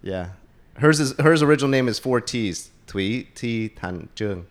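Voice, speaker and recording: A man's voice: medium pitch, expressive, a little slow. A man answering casually, laid-back and brief. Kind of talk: casual conversation.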